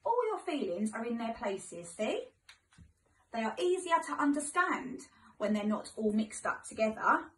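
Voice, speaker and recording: British female primary teacher, higher-pitched, gentle storytime delivery for little ones, recorded at a distance from the mic. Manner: expressive, gentle, simple phrasing for children. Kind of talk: storybook read-aloud for young children. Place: living room, indoors. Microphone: phone camera a few metres away. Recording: echoey room, far from mic.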